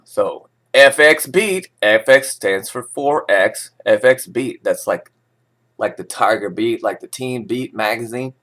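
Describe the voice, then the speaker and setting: A man's voice: on the low side, expressive, measured pace, pushed and bright. Casual, rambling man reading the news. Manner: informal, digressive, opinionated asides. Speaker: vlogger reading forex news with commentary. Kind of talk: casual rambling talk mixed with read-out news.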